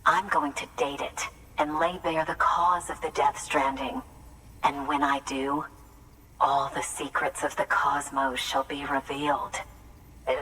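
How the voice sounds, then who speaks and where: A grandiose, eccentric woman mystic from a video game. She has a very deep voice for a woman and speaks portentously. She sounds a little distant, with faint noise. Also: bright, forceful tone, exaggerated voice. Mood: eager, mystical. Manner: portentous, theatrical.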